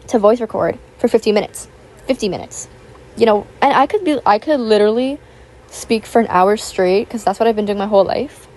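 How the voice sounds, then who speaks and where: A young woman, raspy from just waking up, rambling chattily over a voiceover and recorded at home on a built-in tablet mic. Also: fairly high pitch, dark tone. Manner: rambling, filler-heavy, chatty. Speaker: YouTuber. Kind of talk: casual chat voiceover. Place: home, with household noise. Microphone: built-in tablet microphone.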